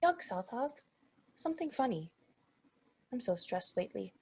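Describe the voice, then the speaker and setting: A woman's voice: a little high, sing-song, moderate pace, a little mellow, husky, heavily acted. A woman playing an insecure thirteen-year-old demon, timid and apologetic, in a comedy script read. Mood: stressed.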